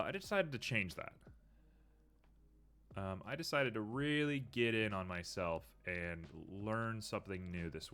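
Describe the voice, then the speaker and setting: Low-voiced sniffly man streaming. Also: clean tone. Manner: thinks aloud, frequent ums, muttering at the software. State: a little sniffly. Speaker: streamer building a 3D model. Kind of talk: casual live-stream commentary while working.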